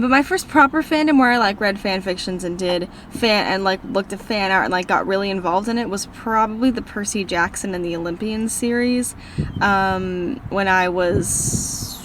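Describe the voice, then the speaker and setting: A woman's voice: mid-range voice, a bit bright, a little hiss or hum. A teenage girl from Minnesota with a northern accent, rambling cheerfully through viewer questions in a casual vlog from her car. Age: teens. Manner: bubbly, rambling, self-deprecating. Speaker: book vlogger. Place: in a parked car.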